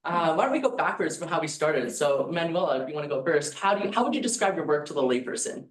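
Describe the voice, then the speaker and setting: A man's voice: a little high, expressive, quick pace, low-quality recording. A man moderating a panel discussion, introducing speakers and asking questions in a casual way. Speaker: moderator, data scientist.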